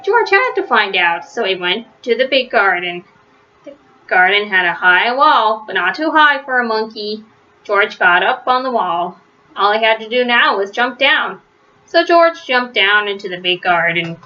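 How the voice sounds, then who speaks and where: Female children's read-aloud narrator, bright and crisp-edged, deliberate storybook phrasing, slightly muffled lo-fi recording. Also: mid-range voice, lively intonation. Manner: simple, deliberate phrasing with stressed words for young listeners. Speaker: storybook reader.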